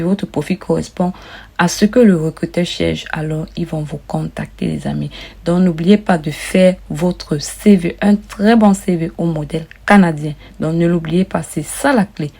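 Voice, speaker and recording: A woman's voice: on the low side, gravelly, dark tone, husky. A woman vlogger casually walking viewers through an application form, often repeating and rephrasing herself and calling them her friends. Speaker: immigration advice vlogger.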